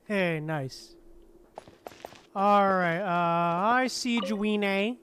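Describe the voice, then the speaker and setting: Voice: mid-range voice, extremely slow, fairly bright tone, faint music behind. A streamer of unclear sex giving casual, laid-back gameplay commentary, half muttering to themself. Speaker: streamer playing a game.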